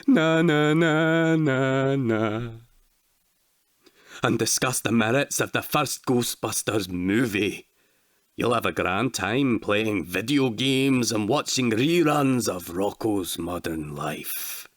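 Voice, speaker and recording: A man's voice: mid-range voice, no room sound, studio quality, lightly acted. A man voicing a frightened old man who tells a dire local legend in an ominous, dramatic storytelling way. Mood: grave, dramatic. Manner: dramatic, ominous, dwelling slowly on words.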